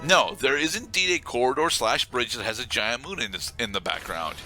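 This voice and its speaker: A man's voice: mid-range voice, lively intonation, fairly quick, pushed and bright. A man doing casual playthrough commentary as co-host of a gaming video, rambling and correcting himself as he goes. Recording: game music behind the voice. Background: video game music and sound.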